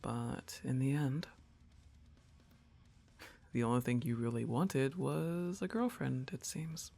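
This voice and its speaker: A woman playing a laid-back, teasing young goth roommate in an intimate ASMR roleplay, talking in an unhurried, conversational way to her crush. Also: rumbling low, gravelly, dark tone, faint music behind, exaggerated voice. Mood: soft, teasing, affectionate.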